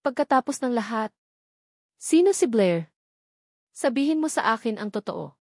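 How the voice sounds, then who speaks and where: A woman's voice: a little high, expressive, normal speed, darkish tone, no room sound, studio quality. A woman storyteller voicing an angry, hurt man who speaks in an insistent, accusing way. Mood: bitter, demanding. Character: angry, hurt, demanding adult man confronting former lover. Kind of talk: dramatic romance story narration.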